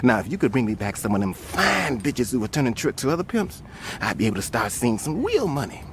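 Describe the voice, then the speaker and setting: An actor playing a slick, chatty small-time American pimp, hustling in familiar slang for a video game cutscene. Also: male voice, mid-range voice, very animated, brisk, background noise, lightly acted. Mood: chummy, hustling.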